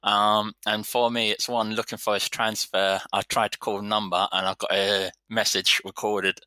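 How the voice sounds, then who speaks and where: Guy in his 30s, easygoing chatty vet, lowish voice, bright and dry. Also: little pitch movement, normal speed, smooth, no echo. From London, England. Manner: easygoing, rambling storyteller. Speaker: vet surgeon guest. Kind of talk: casual interview chat.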